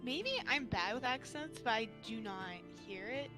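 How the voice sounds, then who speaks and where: A woman's voice: fairly high pitch, animated, normal speed, music in the background. A woman co-playing a visual novel on a stream with a friend, teasing and sometimes reading characters' lines. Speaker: co-player.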